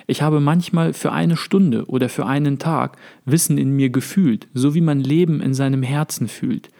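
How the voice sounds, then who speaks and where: A calm male sage from a read-aloud novel, voiced by a man in his own gravelly voice. He speaks in measured, contemplative phrases, earnest and steady. His tone stays at an ordinary middle height. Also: medium pitch, close to an everyday voice. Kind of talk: read-aloud literary dialogue.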